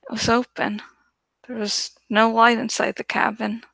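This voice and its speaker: Female audiobook narrator: higher-pitched, gravelly edges, deliberate dramatic delivery of first-person fiction. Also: little pitch movement, sharp tone, dry recording, low-quality recording. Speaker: audiobook reader.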